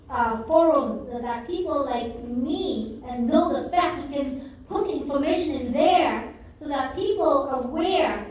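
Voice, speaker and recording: A woman from Vietnam with a Vietnamese accent speaks in earnest, impassioned, somewhat broken English. Her voice sits high. She is heard from a distance in a strongly echoing room. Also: a little mellow. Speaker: audience member asking a question. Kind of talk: town hall question from the floor. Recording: echoey room, far from the mic.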